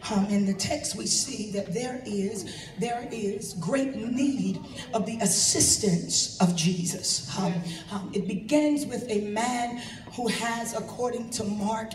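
A woman preaching a fervent sermon from the pulpit of a large church, building into shouted, rhythmic repetition. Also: mid-range voice, slow. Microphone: handheld mic at pulpit, filmed from the pews. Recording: echoey sanctuary recorded from far back.

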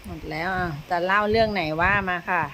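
A mother at home, gently coaching and correcting her child as the child reads storybook titles aloud. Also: fairly low pitch, steady tone, a little fast, noisy background. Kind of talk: casual home chat.

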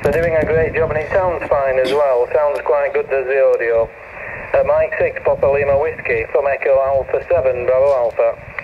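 A man's voice: mid-range voice, steady tone, normal speed, dull audio. A man chatting casually as an amateur radio operator, friendly and hesitant, heard through a shortwave radio speaker. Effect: shortwave radio transmission. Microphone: camera picking up radio speaker. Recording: thin radio-speaker sound with background noise.

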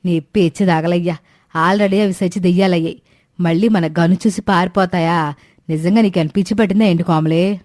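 A woman's voice: lowish voice, steady tone, brisk, darkish tone, dry recording, studio quality, lightly acted. A woman voicing a sarcastic, wary older village mother-in-law in a cartoon story, scolding in rustic colloquial speech. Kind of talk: animated Telugu moral story dialogue.

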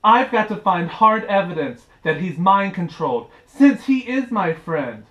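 Young man playing an aggrieved detective puppet, high-voiced. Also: sing-song, a little slow, fairly bright tone, exaggerated voice. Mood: earnest. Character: exhausted self-proclaimed detective puppet, earnest, aggrieved man. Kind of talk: amateur puppet show. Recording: roomy, echoey bedroom sound.

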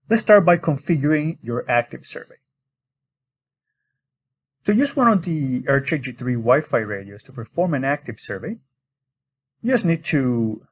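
A man's voice: medium pitch, slightly rough. A man narrating an instructional screen recording as a product demonstrator, explaining step by step in an informal way.

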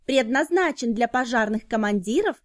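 A woman reads scripted educational narration for children, explaining in short, clearly enunciated phrases. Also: a little high, animated, normal speed, over music.

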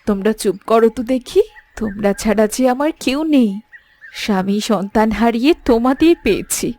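A woman playing a devoted, gentle royal heroine in an animated fairy tale, speaking earnestly and with emotion. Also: a little high, fairly quick, close to an everyday voice. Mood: tender.

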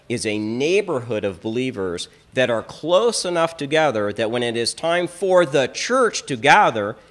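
Emphatic middle-aged priest at a lectern. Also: male voice, mid-range voice. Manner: emphatic, repeats words as he builds a point. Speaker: Orthodox priest. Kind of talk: teaching talk at a lectern. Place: small church hall, indoors. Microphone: stand mic on lectern, filmed from a fixed camera.

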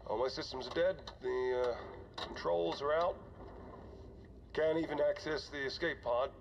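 An actor playing a weary, older starship commander, speaking in a halting, conversational way for a science fiction game audio log. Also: male voice, a little high, gravelly. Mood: resigned, rueful. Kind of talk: dramatic audio log acting. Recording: dull, noisy cockpit log recording.

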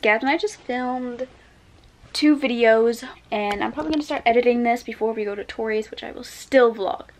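A young American woman with a high voice chats casually as a vlogger. She is recorded on a handheld camera, with some background noise. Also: normal speed. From United States, Michigan and New Hampshire. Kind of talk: casual vlog chat. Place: bedroom, car and outdoors.

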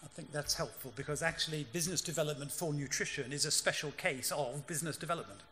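Bright-voiced man moderating in a hall. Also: a little high. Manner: brisk, dryly wry, directive. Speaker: panel moderator. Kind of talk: moderating a panel discussion. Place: large conference hall stage. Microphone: lectern microphone. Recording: hall reverb, faint room hum.

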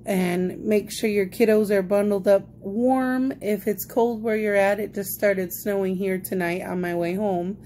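A young woman talks at an unhurried, slow pace in a casual haul-style vlog. Her pitch sits in an average range for a woman. It is a phone recording made indoors at home, with clearly audible noise. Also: steady tone, warm tone. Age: young adult. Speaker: vlogger. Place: small indoor home kitchen. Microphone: phone close at arm's length.

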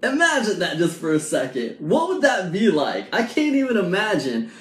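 A man in his forties telling a motivational story to camera in a casual, animated way, full of fillers and rhetorical questions, filmed with a phone at arm's length in a small room at home. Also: high voice, moderate pace. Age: 40s. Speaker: vlog host. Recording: echoey room sound.

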